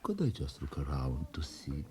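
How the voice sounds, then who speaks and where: An actor playing a shabby, proud old man down on his luck in a radio drama, frail and halting. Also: rumbling low, wildly swooping pitch, dark tone, husky, exaggerated voice. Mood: hesitant, pleading. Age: older. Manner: halting, pleading, with sudden outbursts.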